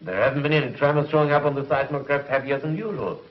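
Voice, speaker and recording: An actor playing a brisk, commanding middle-aged commissioner in a 1950s TV space serial, with a clipped official manner. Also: male voice, mid-range voice, recorded from a distance, a crowd in the background, natural voice. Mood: businesslike. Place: studio control-room set. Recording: dull, muffled 1950s TV soundtrack.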